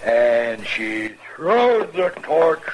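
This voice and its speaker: A sly, spiteful frontier husband in a 1950s radio western. He drawls slowly, stammers through his words and gloats, his voice rising and falling widely. A faint hiss sits under the recording. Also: medium pitch, big pitch swings, poor audio. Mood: sly, insistent. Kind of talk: 1950s radio drama acting.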